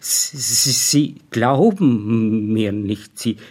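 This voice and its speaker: Slow, heavily stuttering young man. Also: on the low side, darkish tone. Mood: hurt, insistent. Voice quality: heavy stutter. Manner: halting, effortful, earnest. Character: awkward, earnest male physics student, badly stammering witness. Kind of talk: German radio crime drama acting.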